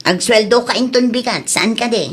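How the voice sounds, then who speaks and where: Woman acting insistent high-voiced Ilocano mother. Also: normal speed, breathy edge. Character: insistent, spendthrift young mother planning a party. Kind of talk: audio drama acting.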